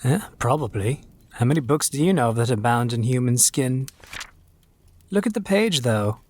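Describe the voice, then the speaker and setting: A man's voice: mid-range voice, animated, normal speed, a little mellow, close to an everyday voice. A man playing a confident young occultist in an audio drama, dryly matter-of-fact about horrors. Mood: relishing, matter-of-fact. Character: wry, casually ghoulish young male grave robber and occultist.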